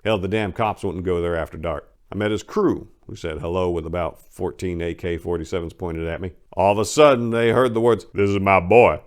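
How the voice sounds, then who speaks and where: A man's voice: deep voice, brisk, hardly any pauses, smooth. A man narrating a first-person story in a dramatic audiobook reading, with a blunt, streetwise, conversational manner.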